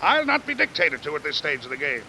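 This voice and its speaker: An actor playing a pompous, blustering middle-aged newspaper publisher with fussy, orotund delivery in 1940s radio drama style. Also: male voice, on the high side, sing-song, quick pace, sharp tone, heavily acted, poor audio. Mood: blustering, indignant. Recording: hissy vintage radio recording, rain behind.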